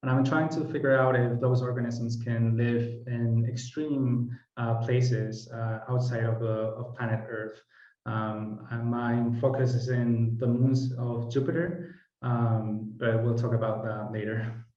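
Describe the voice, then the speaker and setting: A man microbiology PhD student giving a brief, measured self-introduction. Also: mid-range voice, level tone, mellow. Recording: distant, roomy, dull lo-fi mic.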